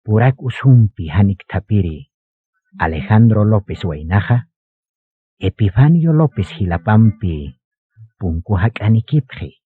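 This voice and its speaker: A man with a Bolivian accent narrating a scripted radio drama in a measured, solemn way, on a muffled recording. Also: on the low side, animated, husky, no room sound.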